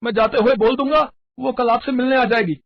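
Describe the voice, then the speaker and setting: A man's voice: very high-pitched, a little fast, no room sound, lo-fi sound. A man voicing a deferential band drummer in a story, informing his boss in a matter-of-fact way. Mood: informative.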